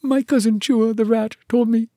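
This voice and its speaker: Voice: medium pitch, steady tone, moderate pace, dark tone, no echo, studio quality, pitched above the performer's own voice, natural voice. A narrator voicing a timid, broken-hearted little male muskrat, tearful and pleading, in an animal storybook. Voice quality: whimpering, tearful. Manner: pleading, fearful.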